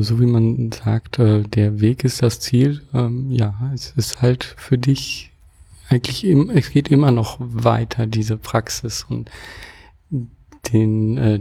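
Interviewer, Mann, dunkle knarrende Stimme, zögerlich fragend. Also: male voice, mid-range voice, vocal fry, mellow, husky. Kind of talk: casual conversational interview.

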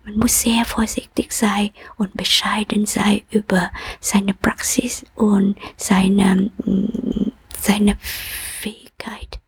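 A middle-aged Buddhist nun from Vietnam with a Vietnamese accent, answering interview questions in a soft, gentle, whispery way. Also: female voice, mid-range voice, flat delivery, takes it slowly, gravelly, husky. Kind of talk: calm reflective interview answers.

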